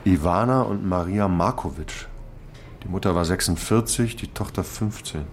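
A man's voice: sits low, normal speed, a little hiss or hum. An actor playing a methodical male police investigator who lays out facts in a matter-of-fact way in a radio play.

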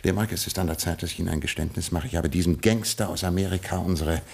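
An actor playing a ruined, tradition-bound British aristocrat in a radio play, languid and haughty. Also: male voice, sits low, fairly even intonation, quick pace, gravelly, breathy edge, exaggerated voice. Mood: confessing, sheepish. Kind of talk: radio drama acting.

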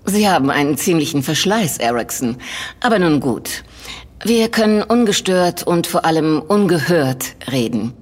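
An actress playing a cold, domineering shareholder in an audio drama, speaking in a clipped, formal manner. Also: female voice, deep voice, lively intonation, normal speed, breathy edge. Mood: commanding, cool. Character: cold, commanding female shareholder and conspirator. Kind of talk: German audio drama acting.

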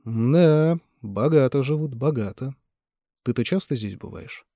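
A man voicing a chatty, nosy young male police sergeant asking probing questions, in a husky voice. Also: medium pitch, animated, a little slow, dark tone, no echo, studio quality.

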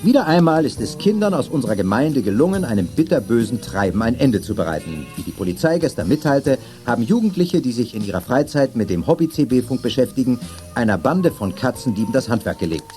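A man's voice: medium pitch, very animated, fairly quick, warm tone, faint music behind, close to an everyday voice. An actor playing a jovial middle-aged father in a children's radio play, speaking with theatrical, playful ceremony. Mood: playful, mock-solemn. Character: jovial, mock-pompous father addressing family and guests.

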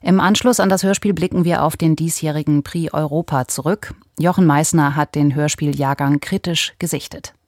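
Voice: mid-range voice, fairly even intonation, moderate pace, hardly any pauses, no room sound. A radio presenter giving a scripted programme announcement.

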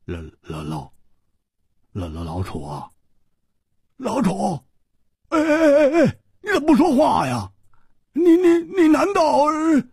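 A man's voice: extremely high pitch, huge pitch swings, takes it slowly, creaky, long pauses, no room sound, studio quality, voice pushed up, full character voice. A man voicing a blustering, hot-headed martial-arts hero who is easily flustered, in an audiobook. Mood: alarmed, panicked. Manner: loud, indignant, stammering when alarmed.

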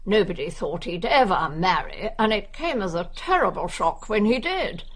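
A woman's voice: medium pitch, sing-song, moderate pace. An actress playing a nosy, brusque older English village woman in a radio drama, gossiping wryly. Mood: gossipy.

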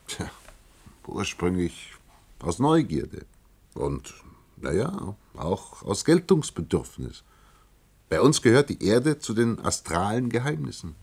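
A man's voice: on the low side, very animated, slow pace, a little mellow, slightly rough, full character voice. An actor in a science-fiction radio play voicing a proud, vain alien researcher who poses as a human salesman and speaks with earnest, pompous emphasis. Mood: grave, earnest.

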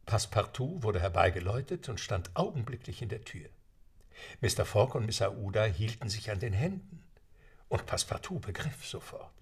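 A man's voice: lowish voice, very animated, moderate pace, husky. A man narrating a German audio drama as a dramatic, scripted storyteller. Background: background music.

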